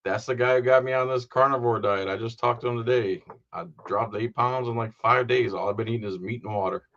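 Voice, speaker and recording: A man in his forties, a guest on a casual call, cracking jokes with deadpan asides. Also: mid-range voice, a little fast, highs cut off. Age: 40s. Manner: joking, wisecracking, deadpan asides. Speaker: guest commentator. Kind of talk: casual joking chat.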